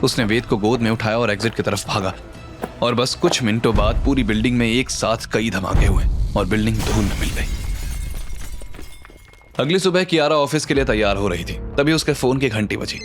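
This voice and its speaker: A man's voice: on the low side, normal speed. A man narrating a scripted audio drama story in a dramatic style. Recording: music under the voice.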